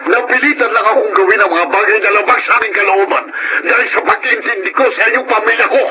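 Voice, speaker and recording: A man's voice: high-pitched, fast talker, a loud crowd, lightly acted. An actor playing a remorseful, defensive middle-aged father and former convict in a Filipino radio drama, with a Filipino accent. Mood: anguished, angry. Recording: noisy radio drama audio.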